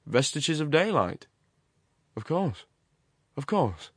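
A man acting a forceful, feverishly talkative ironworks man. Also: on the high side, sing-song, slow pace, husky, dry recording, studio quality, pitched above the performer's own voice, heavily acted. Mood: abrupt, startled. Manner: loquacious, emphatic, abrupt commands. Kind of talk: dramatized storybook narration.

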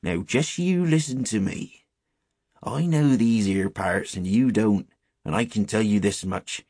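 A man performs an old English shepherd from a storybook. He speaks with a rural accent in an emphatic, grave way, full of caution. The sound is dry and slightly husky. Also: on the low side, unhurried, a little mellow, no room sound. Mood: grave warning. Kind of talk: storybook character voice.

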